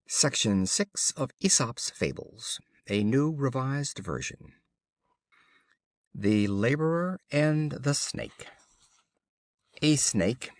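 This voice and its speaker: A man reading children's fables as an audiobook narrator, in a measured, formal storybook style. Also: medium pitch, no echo, studio quality.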